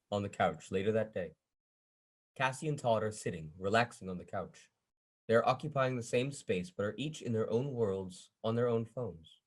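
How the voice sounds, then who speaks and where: A man narrates a screenplay at a table read, reading out stage directions and scene headings. His voice is rough and husky, sitting somewhat low.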